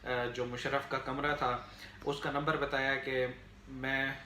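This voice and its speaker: A man with a Pakistani accent giving unscripted political commentary to viewers in a halting, explanatory way, with frequent filler phrases. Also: medium pitch, level tone, bright, forceful tone. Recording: echoey room, faint background noise.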